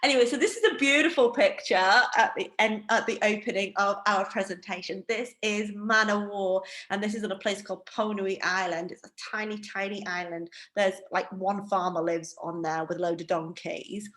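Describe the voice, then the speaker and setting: Woman, Northeast English accent, middle pitch, chatty online presenter, roomy home sound. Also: moderate pace, few pauses. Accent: Northeast England. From Northeast England. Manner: chatty, self-deprecating, lively. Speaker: wine educator. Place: home room. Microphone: video call.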